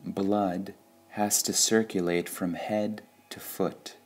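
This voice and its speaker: A man reading devotional sayings from a script in a measured, deliberate way, pausing between short phrases. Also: low-pitched, flat delivery, darkish tone. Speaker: reader of spiritual quotations.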